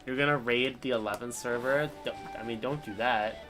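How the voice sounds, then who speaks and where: A man's voice: medium pitch, steady tone, normal speed, music in the background. A man streaming a video game, chatting casually and rambling over the game's dialogue.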